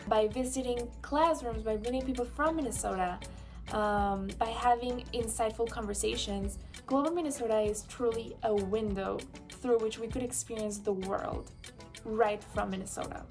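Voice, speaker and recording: Young woman, somewhat high pitch, faint music behind. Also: moderate pace. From Mexico. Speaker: former international student.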